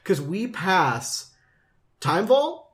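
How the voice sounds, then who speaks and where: A man's voice: high-pitched, very animated, very slow. A man hosting a podcast in a casual, joking chat, swearing freely and poking fun at himself. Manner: profane, joking, self-deprecating. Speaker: podcast host. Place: kitchen.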